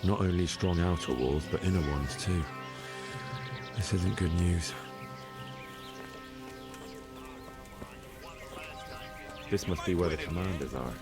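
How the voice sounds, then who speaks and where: An actor playing an earnest, dutiful young scout working undercover in a video game drama. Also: male voice, sits low, normal speed, over music, lightly acted. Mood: wary muttering.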